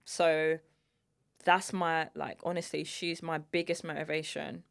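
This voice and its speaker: A woman with a London accent, speaking as an interview guest in a relaxed, candid way that often trails off. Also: low voice, measured pace, creaky. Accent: London English. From East London. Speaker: guest, filmmaker and single mother. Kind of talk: casual conversational interview.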